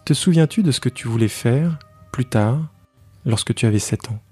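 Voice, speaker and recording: A man's voice: medium pitch, expressive, moderate pace, warm tone, husky, faint music behind. A man hosting an interview podcast, presenting his guest and putting questions. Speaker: podcast host, professional coach. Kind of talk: scripted introduction and interview questions.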